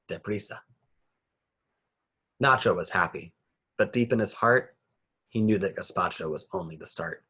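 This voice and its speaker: A man's voice: on the low side, a bit bright, slightly rough, muffled recording. A man reading a children's picture book aloud with rhythmic, sing-song phrasing. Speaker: children's story reader.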